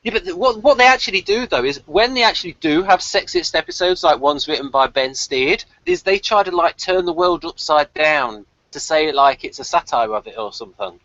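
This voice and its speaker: A man's voice: a little high, lively intonation, fairly quick, few pauses, bright, forceful tone, no echo, highs cut off. A man hosting a casual fan review, chatty and rambling as he thinks aloud. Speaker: host of a TV review discussion.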